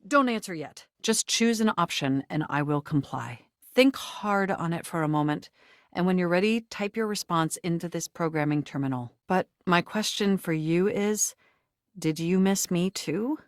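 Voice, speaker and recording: A woman's voice: lowish voice, animated, normal speed, dry recording, studio quality, close to an everyday voice. A woman voicing a sentient home computer in a dating video game, nerdy and romantic, with flirtatious, theatrical delivery and formal phrasing. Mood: earnest, formal. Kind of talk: video game character voice acting.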